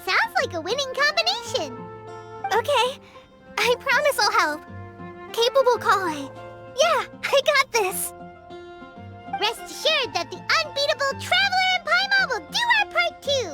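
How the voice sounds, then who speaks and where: A tiny fairy companion with an extremely high, bright voice. The delivery swoops about with cheeky, childlike cheer, in the exclamatory style of an anime game, over soft music. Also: female voice, big pitch swings, a little slow, exaggerated voice. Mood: eager, cheerful. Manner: speaks of herself in third person, exclamatory.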